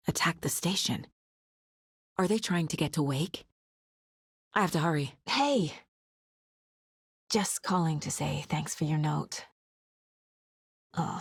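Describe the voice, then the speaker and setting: A determined female FBI investigator in a video game. Her voice is slightly low, husky and breathy, recorded very dry and close. She speaks with understated focus and a dry wit, as if working through a case. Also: dry recording, full character voice. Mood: friendly, casual. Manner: focused, understated, often muttering to herself. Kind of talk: naturalistic video game dialogue.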